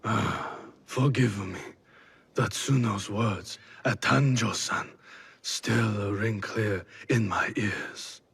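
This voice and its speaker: An actor playing a weary, remorseful leader in a video game, speaking gravely with a husky, gravelly voice and halting delivery. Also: male voice, on the low side, a bit bright, full character voice. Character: weary, remorseful, solemn male leader doubting his cause. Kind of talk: dramatic video game acting.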